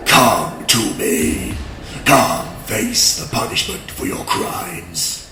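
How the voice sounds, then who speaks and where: A man's voice: fairly low pitch, animated, extremely slow, creaky, noisy background, heavily acted. A man playing a contemptuous vampire predator in a fantasy audio drama, sneering and theatrical. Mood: predatory taunting. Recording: echoing voice over music.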